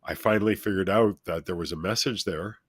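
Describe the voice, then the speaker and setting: A middle-aged or older man with a deep, authoritative voice, speaking as a motivational guest and addressing listeners in an emphatic, instructive way. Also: a little fast, husky, dry recording. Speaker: talk show guest, self-help speaker. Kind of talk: motivational interview talk, speaking at length.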